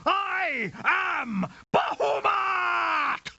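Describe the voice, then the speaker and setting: Game villain: courteous menace, raspy and slow, grandiose threats, dull lo-fi audio. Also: male voice, very high voice, lively intonation, bright, forceful tone, shouting, a little hiss or hum, exaggerated voice. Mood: grandiose fury. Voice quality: gravelly, raspy. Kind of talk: video game villain acting.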